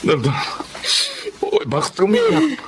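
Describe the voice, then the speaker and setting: Actor as a guilt-ridden poor father, expressive and humble, with noise behind him. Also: very high-pitched, unhurried, vocal fry, exaggerated voice. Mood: remorseful. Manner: humble, deferential, remorseful.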